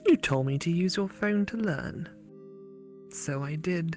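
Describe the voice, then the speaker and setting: A woman voicing a skull-faced female app creature in a visual novel, speaking in slow, hushed, broken phrases. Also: on the low side, faint music behind, lightly acted. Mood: calm, attentive.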